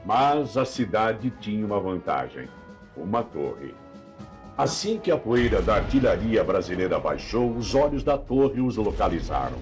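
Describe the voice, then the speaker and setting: A man's voice: lowish voice, very animated, a little slow. A man with a Brazilian accent narrating a scripted documentary in a solemn, dramatic, rhetorical manner. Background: background music.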